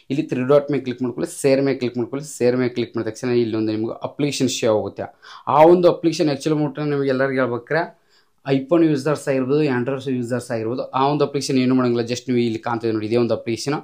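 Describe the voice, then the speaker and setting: Very fast-talking man presenting tutorials. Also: mid-range voice, a little mellow. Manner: repetitive, emphatic explaining with English tech words mixed in. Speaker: YouTube tech tutorial host. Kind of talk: informal step-by-step app tutorial.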